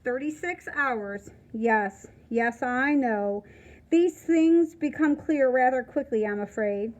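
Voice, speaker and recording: A formal school headmaster from a storybook, voiced by a woman reader in a high register. He is apologetic but will not budge, speaking with brisk, official politeness. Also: highs cut off. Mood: formal, regretful.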